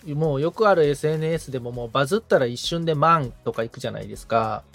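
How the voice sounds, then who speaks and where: A man's voice: mid-range voice, fast, a bit bright, soft background music. A man chatting as a podcast assistant being coached, musing and asking questions in a casually polite, tentative way.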